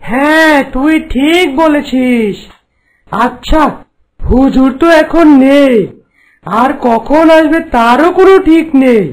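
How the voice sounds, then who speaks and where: A man's voice: very high-pitched, takes it slowly, voice pushed up. A man voicing a lazy, drawling demon henchman in an exaggerated cartoon style. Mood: lazy, agreeable.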